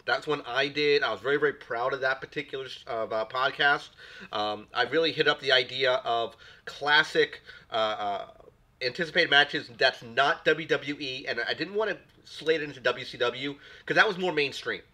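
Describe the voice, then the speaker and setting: A man hosts a casual live stream from a home desk mic, his voice carrying the tail end of a cold. His tone is bright and forward. He rambles easily, doubling back on words as he chats to his viewers. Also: medium pitch, moderate pace. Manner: rambling, repeats words, greets chat viewers. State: recovering from a cold. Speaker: stream host.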